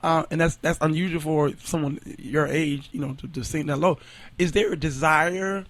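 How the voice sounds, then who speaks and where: A man hosting a casual radio interview, friendly and quick to laugh, with plenty of fillers. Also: fairly high pitch, fairly even intonation, a bit bright. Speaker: radio show host.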